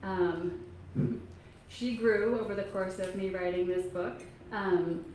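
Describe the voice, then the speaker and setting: Soft-spoken woman in an echoey room. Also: medium pitch, steady tone. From Kentucky. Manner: soft-spoken, halting, frequent ums. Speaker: author giving a library talk. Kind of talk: casual talk to an audience. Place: public library meeting room. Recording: echoey room, faint noise.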